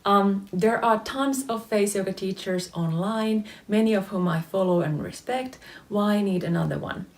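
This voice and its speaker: A woman in her late thirties from Finland with a Finnish accent, a face yoga teacher explaining exercises to camera in a methodical way, often pausing on "um". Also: mid-range voice. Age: 30s. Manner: explanatory, methodical, with frequent "um" fillers. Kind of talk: instructional video talk to camera.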